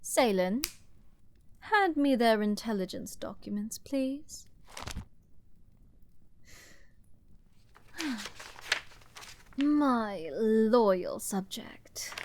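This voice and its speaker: Arrogant fantasy princess: lightly high-pitched, very unhurried, haughty and playfully seductive, audio-drama delivery. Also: female voice, sing-song, background noise, exaggerated voice. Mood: delighted, teasing. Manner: haughty, grandiose, playful aristocratic drawl with ornate diction. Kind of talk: scripted dramatic ASMR roleplay addressed to the listener.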